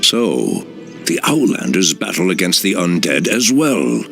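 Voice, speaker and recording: Een diepe, grindstem-druïdeleider. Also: male voice, sing-song, unhurried, heavily acted. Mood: grave. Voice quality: deep, gravelly, solemn. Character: ancient male elf druid leader, grave. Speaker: game cutscene character. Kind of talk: game cutscene acting. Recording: voice over loud music.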